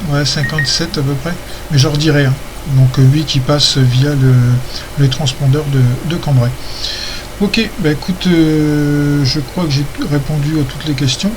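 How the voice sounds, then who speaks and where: A man chatting casually as an amateur radio operator, rambling and hesitant. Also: fairly high pitch. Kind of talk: casual ham radio chat. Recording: noisy amateur radio session recording.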